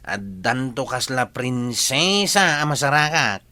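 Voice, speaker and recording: A man's voice: mid-range voice, sing-song, slow, pushed and bright, dry recording, full character voice. A man with an Ilocano accent playing a teasing, joking village bachelor in a radio comedy, with a chuckling, needling manner. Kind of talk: radio comedy drama acting.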